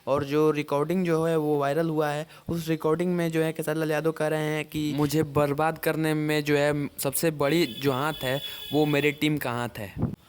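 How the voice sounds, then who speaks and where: Guy doing youtube news commentary, kinda high voice, really monotone, keeps re-explaining stuff. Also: normal speed, clear, resonant tone. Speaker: YouTube host reporting entertainment gossip. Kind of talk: informal news commentary to camera or microphone.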